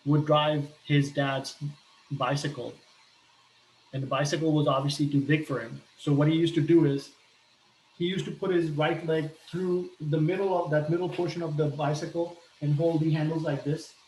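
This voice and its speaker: A man from Pakistan talking earnestly about his life, pausing often and restarting his sentences. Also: on the high side, steady tone, darkish tone, reverberant, slight background noise, poor audio. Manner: hesitant, earnest, many restarts and fillers. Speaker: entrepreneur sharing a personal appeal. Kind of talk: personal talk with shared images.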